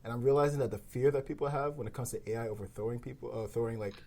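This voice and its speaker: A man's voice: medium pitch, fairly even intonation, very fast, a little mellow. A man hosting a podcast, talking casually in a round-table discussion. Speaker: podcast host.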